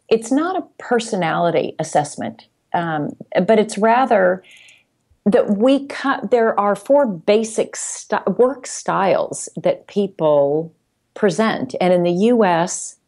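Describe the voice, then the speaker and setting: A woman's voice: on the low side, a little mellow. A woman speaking as a podcast guest in casual conversation, explaining things reflectively step by step. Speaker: podcast guest, sales executive.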